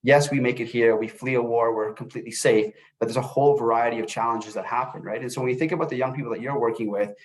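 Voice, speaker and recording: Middle-aged guy from el salvador doing a webinar talk, talks fast but halting, keeps repeating little words. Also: mid-range voice, fairly even intonation, low-quality recording. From born in El Salvador, grew up in North America. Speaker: webinar presenter, youth services manager. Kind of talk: informal webinar presentation with personal story.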